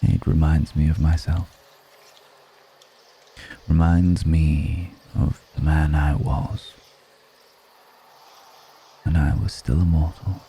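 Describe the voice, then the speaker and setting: Man as a very deep, slow-speaking ancient vampire. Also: flat delivery, mellow, a little hiss or hum, exaggerated voice. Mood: reflective, wistful. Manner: slow, deliberate, brooding, with long pauses. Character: ancient male vampire, world-weary, seductive, musing on mortality. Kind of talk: intimate ASMR roleplay monologue.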